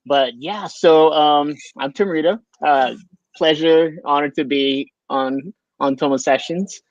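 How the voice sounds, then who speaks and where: A man's voice: on the high side, slow pace, no echo. A man from Hawaii, a guest bartender, talking casually and easily in an interview over a video call. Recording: dull dry video call audio.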